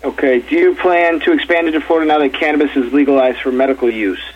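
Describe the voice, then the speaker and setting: A man's voice: fairly high pitch, steady tone, fast talker. A man moderating an earnings call, reading out questions briefly and plainly. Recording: muffled lo-fi line, faint noise.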